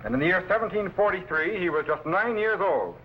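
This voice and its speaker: A dull, slightly noisy vintage radio recording of a confident time-traveller. He explains things briskly, in a fairly high, animated male voice. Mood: confident, intent. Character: confident adult time-travelling adventurer, mentor to youngster. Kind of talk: old-time radio science-fiction acting.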